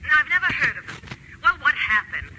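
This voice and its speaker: Imperious, very high-pitched radio heiress. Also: female voice, sing-song, a little fast. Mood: impatient, tense. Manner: sharp, impatient. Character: imperious, demanding wealthy invalid wife on the telephone. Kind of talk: 1940s radio drama acting. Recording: noisy vintage radio broadcast.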